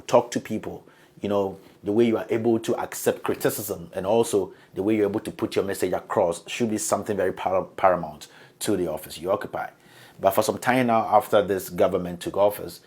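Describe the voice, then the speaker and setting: Hoarse man ranting. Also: low voice, a little mellow. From Ghana. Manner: emphatic, accusatory, building in force. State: hoarse from flu. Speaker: political commentator. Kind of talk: scripted editorial monologue.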